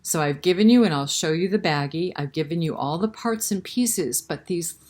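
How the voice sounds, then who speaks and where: A woman's voice: on the low side, lively intonation, normal speed, a little mellow. A woman hosting a library storytime, reading a picture book and chatting directly to young children with questions. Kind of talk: children's picture-book read-aloud and chat.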